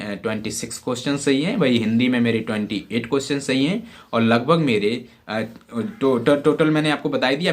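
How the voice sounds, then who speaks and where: A young man from Uttar Pradesh talks informally to exam candidates, with frequent check-in phrases and English words mixed in. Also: mid-range voice. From Uttar Pradesh, India. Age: young adult. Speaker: exam-prep YouTuber.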